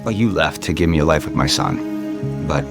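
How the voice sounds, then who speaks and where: An actor playing a wistful man in his thirties in an audio soap opera, gentle, earnest and halting. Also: very deep voice, expressive. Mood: confessional. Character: wistful thirty-eight-year-old father, tender with old love. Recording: music behind the voice.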